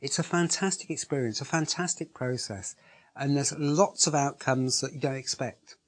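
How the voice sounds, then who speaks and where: Male organiser, mid-pitched, earnest, emphatic testimonial delivery. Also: normal speed. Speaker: project organiser. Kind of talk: interview testimonial.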